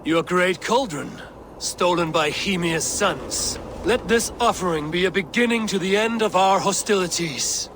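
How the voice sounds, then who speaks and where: An actor playing a sly, persuasive god in disguise in a fantasy video game. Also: male voice, high voice, animated, a little slow, a bit bright, slight background noise. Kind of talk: video game cutscene acting. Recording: game audio with ambience.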